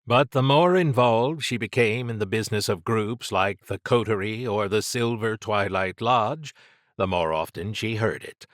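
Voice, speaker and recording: A man's voice: fairly low pitch, moderate pace, dry recording, clean studio sound. A man reading scripted fiction as an audiobook narrator.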